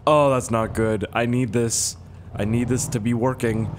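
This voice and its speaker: A young man with a hoarse, strained voice giving casual, profane, self-mocking commentary while playing a game. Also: medium pitch, steady tone, measured pace, slight background noise. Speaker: gaming YouTuber. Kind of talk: casual let's-play commentary.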